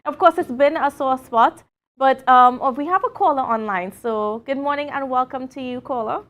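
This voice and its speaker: A Tobagonian woman with a high voice hosts a live morning talk show from the studio. She chats loosely, with plenty of fillers, and laughs easily between points. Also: moderate pace, fairly bright tone, highs cut off.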